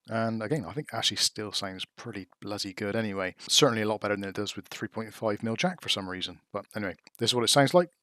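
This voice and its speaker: A British man giving a casual, conversational tech review in a measured, frank way. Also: lowish voice, fairly bright tone. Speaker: product review vlogger.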